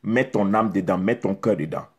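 A man's voice: deep voice, moderate pace, darkish tone, husky. A middle-aged man, preaching a sermon straight to the camera, exhorting with rhetorical questions and emphatic repetition. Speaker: pastor. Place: indoors in front of a beach backdrop.

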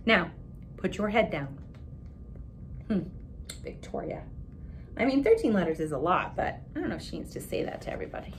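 A woman in her thirties, a teacher giving an expressive children's read-aloud with chatty asides, emphatic and speaking directly to the kids. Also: fast, vocal fry. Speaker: teacher reading a picture book aloud. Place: small indoor room with bare wall. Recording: steady background noise with low rumble.

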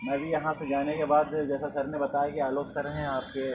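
A man's voice: fairly high pitch, flat delivery, brisk. Man addressing players in heavy outdoor noise. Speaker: visiting football coach. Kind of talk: informal encouraging speech to young players. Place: outdoor school sports ground. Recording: very noisy outdoor phone video.

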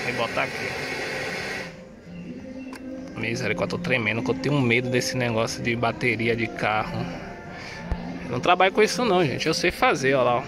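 A man with a Brazilian accent, chatting slangily and thinking aloud as he installs a car sound system, filmed on a phone inside the car. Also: medium pitch. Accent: Brazilian Portuguese. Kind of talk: casual hands-on DIY narration. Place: inside a car, working on its wiring.

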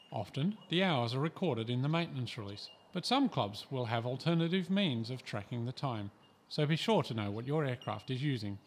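A man from Australia reading a scripted training voice-over in a calm, measured, instructive way. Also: mid-range voice, slight background noise. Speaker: instructional narrator.

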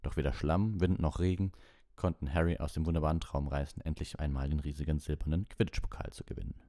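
Deep, mellow man reading at bedtime. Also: steady tone, fast, no echo, clean studio sound. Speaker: audiobook reader and livestream host. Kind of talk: bedtime audiobook narration and soft sign-off.

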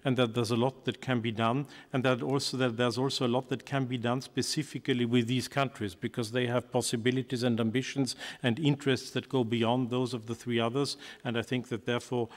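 A man in his sixties giving a careful, measured answer as a panelist at a desk microphone in a large conference hall. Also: medium pitch, little pitch movement. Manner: measured, careful, with frequent pauses and fillers. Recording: long hall reverb.

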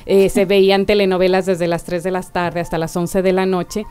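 Smooth-voiced Mexican woman, earnest. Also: on the low side, flat delivery, normal speed, warm tone, faint music behind. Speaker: guest actress. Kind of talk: panel discussion. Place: radio studio.

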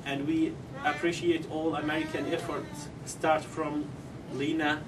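A middle-aged man gives a halting press statement, full of ums and grammar. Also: on the high side, little pitch movement. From Syria. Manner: halting, with many ums and non-native grammar. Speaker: parent speaking at a press conference. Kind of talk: prepared press statement, then answering questions. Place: conference room, seated at a table. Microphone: table microphones, heard through a far camera. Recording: distant mic with clear background noise.

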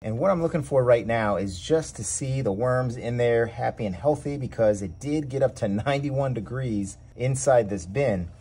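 Male host, husky voice, easygoing how-to delivery on an outdoor porch. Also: mid-range voice. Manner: relaxed, explains each step as he works. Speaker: worm farming channel host. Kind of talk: casual how-to demonstration. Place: outdoor covered back porch.

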